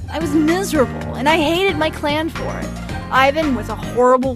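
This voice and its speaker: Woman playing a free-spirited dwarf lady, high voice, flirty, defiant, cartoon dub, music clearly behind. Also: lightly acted. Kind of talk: animated comic fandub acting. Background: prominent music.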